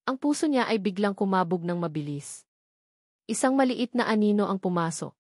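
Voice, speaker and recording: A woman's voice: medium pitch, animated, normal speed, no room sound, clean studio sound. A woman with a Filipino accent reading a scripted dramatic story as its narrator.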